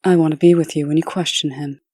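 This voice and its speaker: A woman's voice: sits low, steady tone, quick pace, darkish tone, husky, no room sound, studio quality. A woman playing a serious, determined official in a comic dub, speaking in a precise, earnest and insistent way. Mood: insistent, offended. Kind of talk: comic dub character acting.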